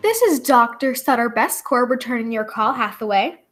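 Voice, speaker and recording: A woman's voice: fairly high pitch, animated, normal speed, fairly bright tone, faint music behind. A woman playing an inquisitive, flustered female doctor in a 1940s radio drama, exclamatory and full of questions. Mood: surprised, puzzled. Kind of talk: 1940s old-time radio acting. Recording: clearly noisy recording with clicks.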